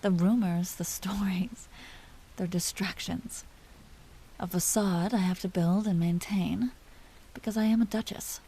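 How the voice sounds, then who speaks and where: An aristocratic vampire duchess, acted by a woman for a roleplay audio drama, speaks in a soft, dark voice with a breathy edge. She is imperious and teasing, slipping into hushed confidences that show a tender side. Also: medium pitch, fairly even intonation, a little slow, poor audio. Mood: confiding, guarded.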